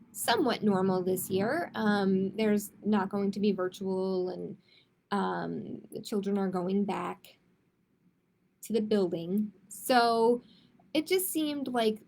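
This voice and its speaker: A woman speaking as a children's storytime host, in a measured manner suited to picture books and simple chat with families. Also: mid-range voice, gravelly, darkish tone. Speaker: children's librarian hosting storytime. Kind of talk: picture book read-aloud, then casual announcements.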